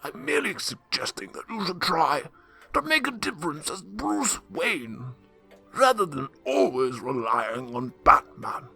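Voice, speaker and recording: A man's voice: fairly high pitch, big pitch swings, measured pace, vocal fry, bright, forceful tone, faint music behind, exaggerated voice. A man playing a dry, sardonic, loyal butler and mentor in a radio drama, speaking formally with wry admonishment. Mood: earnest admonishing.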